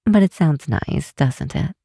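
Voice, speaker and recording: Low, mellow, teasing vampire woman, acted by a woman. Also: no room sound, clean studio sound, heavily acted. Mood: playful, fond. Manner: soft, unhurried, teasing, sometimes breathy near-whisper. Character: affectionate, teasing old-world vampire woman, a scientist friend. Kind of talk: intimate ASMR roleplay, one side of a call.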